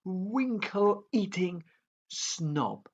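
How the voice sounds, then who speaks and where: A man's voice: high voice, expressive, drawn-out words, voice pushed up, full character voice. A man voicing a small, grumpy, boastful young male dragon who stammers on first sounds. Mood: jeering.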